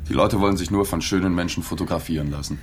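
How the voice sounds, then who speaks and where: An actor playing a journalist in a radio drama, questioning a patient quietly in a dry, matter-of-fact way. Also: male voice, low-pitched, quick pace, a little hiss or hum, close to an everyday voice. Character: male journalist gently interviewing a patient.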